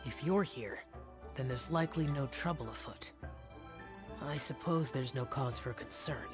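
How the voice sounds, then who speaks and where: A man's voice: medium pitch, measured pace, over music, lightly acted. A man playing a stern, aloof, youthful immortal guardian in a video game, with formal, reserved phrasing. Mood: stern, reflective. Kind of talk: video game character voice acting.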